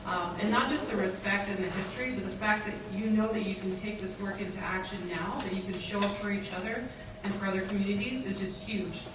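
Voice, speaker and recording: A woman in her forties from Texas gives a casual, upbeat talk to a live audience, with many ums and quick asides. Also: mid-range voice. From San Antonio, Texas. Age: 40s. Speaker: keynote speaker, nonprofit executive director. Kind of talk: casual public talk to a gathering. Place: event venue with a live audience. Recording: echoey, distant mic, loud background noise.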